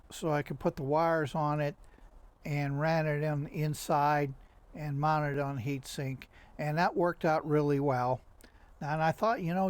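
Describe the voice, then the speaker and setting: Male hobbyist presenter, slightly high-pitched voice, halting and conversational, casual unscripted project walkthrough. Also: darkish tone. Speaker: hobbyist radio restorer and presenter. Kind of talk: casual how-to video narration.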